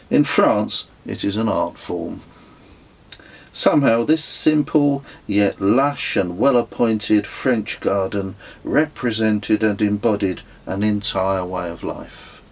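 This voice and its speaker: A middle-aged man reading his own travel memoir as scripted narration, in a measured, reflective way, with a husky voice. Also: lowish voice, a little mellow.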